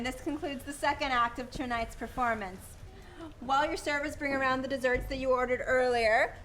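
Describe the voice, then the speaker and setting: A woman playing a brisk, formal radio show director, making announcements at a live performance. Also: high-pitched, a bit bright, a little hiss or hum, rough recording.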